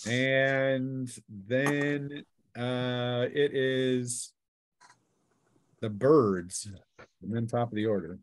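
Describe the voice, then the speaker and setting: A man's voice: mid-range voice, fairly even intonation, drawn-out words, long pauses, a little hiss or hum. A man refereeing a tabletop role-playing game, talking off the cuff as he narrates the action and works through the rules. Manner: conversational, narrates action between rules talk and arithmetic. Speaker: game master.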